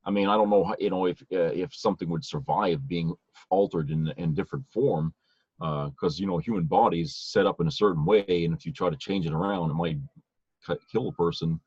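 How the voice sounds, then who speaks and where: A man with a fairly low, husky voice chats casually as a podcast co-host. His talk is loose and rambling, circling back to restart thoughts. The recording is a little dull and lo-fi. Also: a little fast, darkish tone, no echo. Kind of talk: casual chat.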